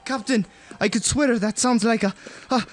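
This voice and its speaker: A man playing an eager, excitable and obedient spaceship crewman in a radio drama. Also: extremely high pitch, moderate pace. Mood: excited, awed. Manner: deferential, excitable.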